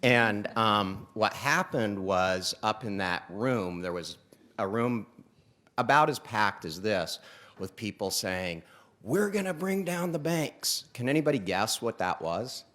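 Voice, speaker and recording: Very deep-voiced man on a panel. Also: slow pace. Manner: animated storyteller, pitch jumps for emphasis. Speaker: panelist, startup founder. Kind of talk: conference panel storytelling. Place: conference stage before an audience.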